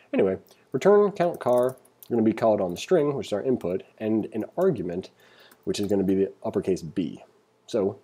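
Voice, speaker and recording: Man with a dark, mellow, husky voice. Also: on the low side. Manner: informal, self-deprecating, thinking aloud. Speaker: programming instructor. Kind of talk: casual screencast coding tutorial. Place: indoors at a computer, screen recording voice-over.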